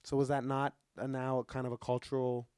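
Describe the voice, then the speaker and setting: A man's voice: medium pitch, monotone, a little fast, darkish tone, clear, resonant tone, no room sound, clean studio sound. A man hosting a podcast, arguing his point and probing his co-host's words. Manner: argumentative, probing, picks words carefully. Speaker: podcast host and comedian. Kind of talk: casual podcast chat.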